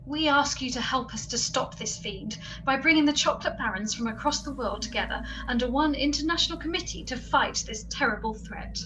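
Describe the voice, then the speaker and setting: Earnest adventuress, female actor, radio comedy: high, formal delivery, slightly distant pickup. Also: fairly quick, few pauses, sharp tone. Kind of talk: comic radio drama acting. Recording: noisy, distant from the mic.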